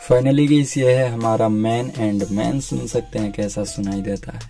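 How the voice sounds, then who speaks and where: A man's voice: medium pitch, fairly even intonation, a little slow, dark tone, soft background music. A man giving a casual phone feature walkthrough, mixing English words into informal speech. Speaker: tech reviewer.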